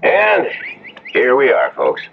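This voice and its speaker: An actor playing a folksy, easygoing Western local driver, plain-spoken and hesitant, in 1970s radio drama. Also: male voice, mid-range voice, wildly swooping pitch, slow pace, creaky, music in the background, exaggerated voice. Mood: friendly. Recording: noisy, tinny old radio recording.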